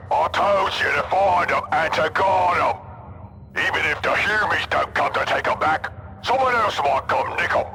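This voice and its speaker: A man playing a rough, scrappy ork in a video game cutscene, with a rasping Cockney voice and blunt, crude speech. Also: on the high side, sharp tone, slight background noise, exaggerated voice, low-quality recording. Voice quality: gritty, rasping. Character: rough, scrappy ork underlings eager for a fight.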